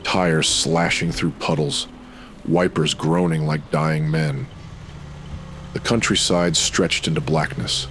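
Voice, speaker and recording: Very deep-voiced man narrating noir. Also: steady tone, slow pace, fairly bright tone, a little hiss or hum. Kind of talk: noir pulp audio drama narration.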